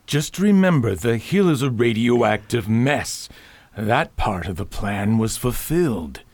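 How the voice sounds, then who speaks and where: A man playing a scheming, guarded crime boss in a science fiction radio drama, speaking in a measured, husky voice. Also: mid-range voice, animated, a little mellow, no room sound. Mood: coolly defensive. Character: scheming male crime boss, guardedly answering to his master.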